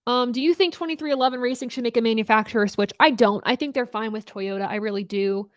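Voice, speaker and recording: A woman's voice: on the high side, quick pace, no room sound, studio quality. A young woman hosting a live racing talk stream, chatting casually and answering viewer questions with an upbeat, laughing manner. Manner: chatty, upbeat, laughs often, many fillers and restarts.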